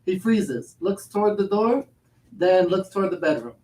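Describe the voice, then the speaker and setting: A man's voice: high-pitched, expressive, moderate pace. A man reading stage directions as scripted narration for a play. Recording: echoey room, distant, background noise.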